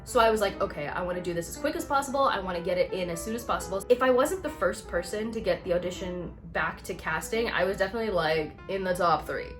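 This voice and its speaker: A woman chatting casually to camera as she tells a personal story, animated and conversational as she acts out reactions. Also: lowish voice, quick pace, hardly any pauses, over music. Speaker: actor and vlog host telling her own story.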